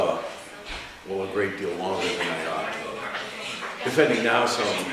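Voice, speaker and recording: Man playing a slow, impassioned old defense lawyer, echoey room. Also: fairly low pitch. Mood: measured, weary. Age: older. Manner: oratorical, impassioned, with dramatic pauses and swells. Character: veteran male defense lawyer pleading passionately for young clients' lives. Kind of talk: theatrical courtroom monologue. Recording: very echoey room, faint noise.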